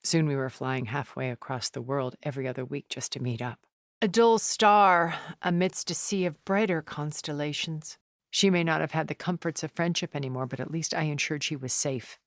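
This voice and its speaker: An actress playing a poised, cultured, wealthy woman in a video game, speaking in a measured, formal, refined way. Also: low voice, fairly even intonation, dry recording, studio quality. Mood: cool, explanatory. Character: poised, cultured, wealthy woman, a thousand years old, sounding middle-aged. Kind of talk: video game character dialogue.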